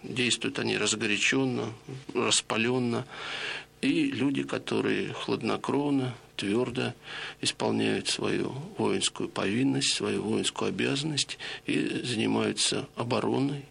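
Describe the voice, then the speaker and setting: A middle-aged man giving a reflective spoken lecture to listeners, measured and explanatory, pausing often on hesitations. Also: medium pitch, fairly even intonation, creaky, fairly bright tone, husky. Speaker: lecturer on radio.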